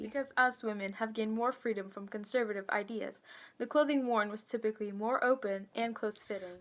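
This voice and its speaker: A woman's voice: mid-range voice, sharp tone. Woman presenting a scripted fashion report. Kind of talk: scripted news segment set in the 1920s.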